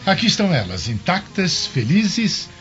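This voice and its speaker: An actor playing a self-assured, gallant Roman governor with pompous theatrical delivery. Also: male voice, high-pitched, huge pitch swings, moderate pace, fairly bright tone, full character voice. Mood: gallant, pleased. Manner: smooth-tongued, pompous, theatrical. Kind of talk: radio drama acting. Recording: dull lo-fi radio drama, music underneath.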